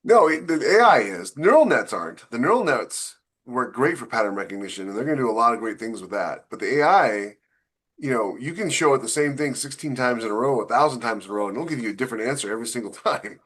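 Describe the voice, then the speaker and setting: A man's voice: medium pitch, animated, quick pace, fairly bright tone, husky. A man co-hosting a podcast, explaining things casually and with opinionated emphasis. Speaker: podcast co-host.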